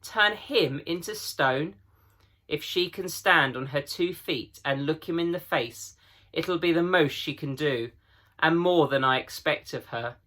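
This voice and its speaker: A man voicing a gruff, proud old talking beaver in a children's storybook, folksy and emphatic. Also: fairly high pitch, measured pace, pushed and bright, voice pushed up.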